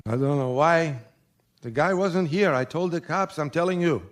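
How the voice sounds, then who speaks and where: A male actor plays a shifty manager of a run-down motel in a radio mystery. His voice sits a bit high for a man, and he answers grudgingly, sardonic and evasive. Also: moderate pace, close to an everyday voice.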